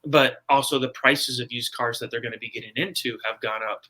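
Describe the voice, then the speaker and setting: Bright-voiced American man giving plain advice. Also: mid-range voice, steady tone, brisk, low-quality recording. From United States. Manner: plainspoken, advising. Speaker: guest, personal finance author. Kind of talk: casual explanatory interview talk.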